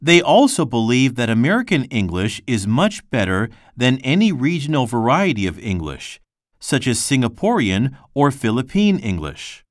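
A man reading a textbook passage aloud for a language lesson, slow and careful, with clear pauses between phrases. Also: medium pitch, very animated, dry recording, studio quality. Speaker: textbook reader.

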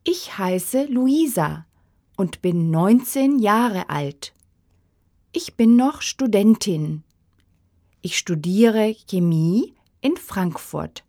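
A woman reading numbers and textbook dialogue lines with careful, deliberate enunciation for a language course. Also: medium pitch, expressive, mellow, no room sound, clean studio sound. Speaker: course audio speaker.

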